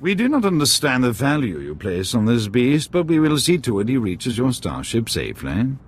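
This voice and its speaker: A man's voice: medium pitch, wildly swooping pitch, normal speed, slight background noise. A man playing a stilted, courteous, aloof alien dignitary in a video game. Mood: politely aloof. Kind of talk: video game cutscene acting.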